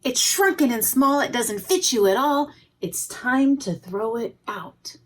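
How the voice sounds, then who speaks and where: A firm storybook mother acted out by a woman reader scolds her little boy. Her voice is lifted and emphatic, falling into a deliberate singsong rhythm. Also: fairly high pitch, lively intonation, voice pushed up. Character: mother firmly nagging her young son.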